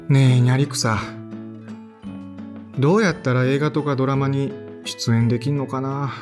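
A man's voice: medium pitch, fairly even intonation, normal speed, over music, lightly acted. An actor in his thirties playing a tired, sighing man who narrates his own story in an audio drama. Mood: wry. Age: 30s. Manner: sighing, tired, self-deprecating. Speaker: narrator and lead character.

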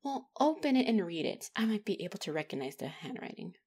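Lively, feisty young trainee woman, acted by a woman. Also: medium pitch, fast. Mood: wry, casual. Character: young demigod trainee woman, feisty, flustered secret admirer. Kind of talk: ASMR roleplay acting.

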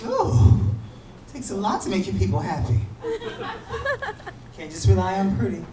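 A man's voice: sits high, huge pitch swings, normal speed. A man working as a drag performer, hosting a live show with sassy, campy, playful banter to the audience. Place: small dark bar with stage, indoors. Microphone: handheld stage mic through speakers, filmed from audience. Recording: roomy, distant camera audio, loud crowd noise.

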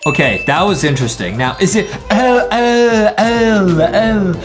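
A young man doing excitable reaction commentary as host. His delivery is playful and exclamatory, with a very lively melody and music behind him. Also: high voice, unhurried.

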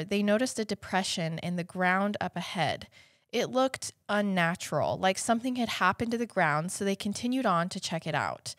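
A woman's voice: medium pitch, fairly bright tone, no echo, clean studio sound. Congested young American woman, podcast storyteller. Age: young adult. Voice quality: slightly congested. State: has a cold. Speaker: podcast co-host. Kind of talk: true-crime storytelling, conversational. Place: small indoor podcast studio. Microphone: close studio mic on boom arm, headphones.

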